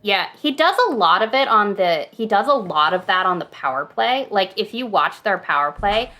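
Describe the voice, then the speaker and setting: A woman's voice: medium pitch, expressive, moderate pace, sharp tone. Woman hosting a casual sports podcast quiz. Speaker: podcast host running a hockey trivia quiz.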